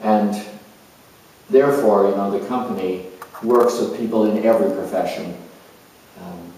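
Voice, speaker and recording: A man playing an earnest, self-assured spiritual coach in a dramatized monologue, reflective and halting, with deliberate pauses. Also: fairly low pitch, a little mellow, a little hiss or hum, lightly acted. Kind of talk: dramatized monologue as if answering an interview. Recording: distant mic in very echoey room.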